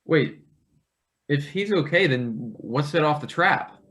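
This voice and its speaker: A man's voice: fairly high pitch, expressive, takes it slowly, fairly bright tone. A man playing a nervous but cheeky new intern in a live play reading, with a hesitant, awkward delivery. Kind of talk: live table read of a satirical play.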